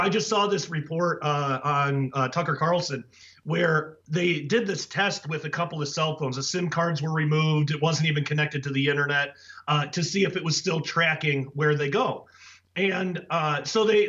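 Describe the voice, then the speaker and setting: A man speaking as a guest author, hoarse and raspy after the flu, earnest and full of fillers and restarts. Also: a little high, a bit bright. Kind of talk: casual interview talk. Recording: dull lo-fi audio.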